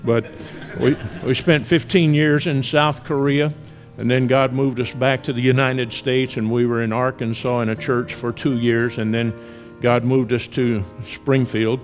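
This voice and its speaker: Older Southern male missionary, sixties, relaxed conversational church talk with light humor. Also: mid-range voice, measured pace, soft background music. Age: 60s. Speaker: missionary guest speaker.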